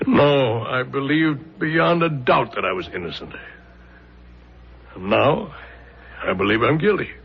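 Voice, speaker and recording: An actor playing an ordinary middle-class suspect in a radio drama, weary, resigned to guilt and haltingly protesting. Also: male voice, mid-range voice, expressive, creaky, slightly rough, heavily acted. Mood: resigned, insistent. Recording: muffled 1970s radio drama recording.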